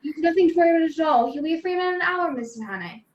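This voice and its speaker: Woman as a high-pitched, official police officer. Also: expressive, rapid-fire, lo-fi sound. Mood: brisk, reassuring. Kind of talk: amateur radio play acting. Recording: echoey room over video call.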